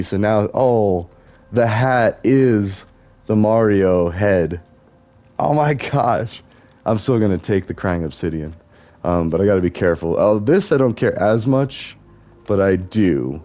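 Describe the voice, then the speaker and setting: A young man with a deep, mellow voice talks on and on while playing a game. His thoughts wander from one tangent to the next in a loose, musing way. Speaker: gaming vlogger.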